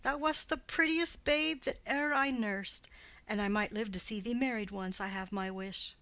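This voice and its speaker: A woman's voice: mid-range voice, normal speed, dry recording, close to an everyday voice. Woman doing a chattering old nurse. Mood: laughing garrulous. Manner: chattering, swooping up loud on quoted exclamations. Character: garrulous old female nurse, rambling and fond. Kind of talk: dramatic reading of a play.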